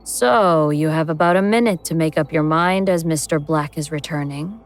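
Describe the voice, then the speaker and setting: A woman playing an ancient, powerful elder god in human guise, speaking with deliberate, imperious menace in a horror audio drama. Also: deep voice.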